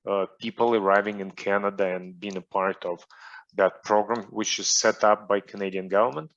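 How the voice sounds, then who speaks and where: Hesitant Russian-accented man, low voice. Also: fairly bright tone, muffled recording. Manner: hesitant, many fillers and restarts. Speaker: guest soccer coach. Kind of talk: online interview.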